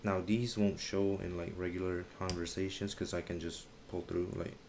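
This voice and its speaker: Low-voiced young man, halting stream chat. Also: flat delivery, a little mellow, a little hiss or hum. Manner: halting, searching for words, slangy. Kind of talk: casual live stream chat.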